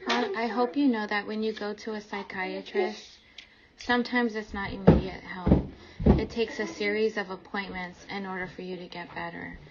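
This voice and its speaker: A woman's voice: mid-range voice, steady tone, normal speed, heavy background noise. A woman in a heated domestic argument, upset and worried, speaking firmly and insistently. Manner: insistent, firm. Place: indoors at home. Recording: muffled home recording, voices around.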